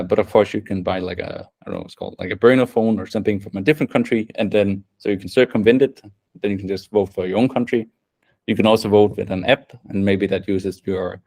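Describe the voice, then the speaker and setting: A man's voice: on the low side, darkish tone. A young man presenting a technical workshop in a casual way, thinking aloud with many fillers and restarts. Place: event room.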